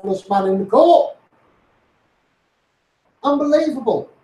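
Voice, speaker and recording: A man's voice: very high voice, lively intonation, slow pace, warm tone, roomy sound. A man with a Scouse accent giving a blunt, sweary, taunting rant to his online followers. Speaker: social media personality.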